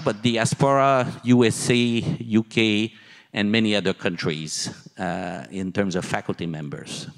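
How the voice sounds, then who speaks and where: A man in his sixties giving a keynote as a university dean, halting and pausing often mid-sentence, on a handheld microphone in an auditorium. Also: medium pitch. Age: older, 60s. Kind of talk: conference presentation, speaking from slides. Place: large auditorium stage. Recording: long hall reverb from PA.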